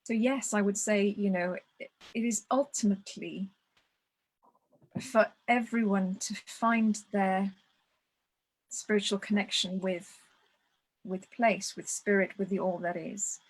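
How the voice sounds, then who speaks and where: A woman speaks in a calm, reflective way, her pitch staying quite level as she circles back over her words. She sounds like a guest on a video call, taking her time to find each phrase. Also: unhurried, long pauses, slightly rough, low-quality recording. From Wales. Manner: thoughtful, restarts phrases, steady even delivery. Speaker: guest speaker on ritual tradition. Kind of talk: reflective conversation.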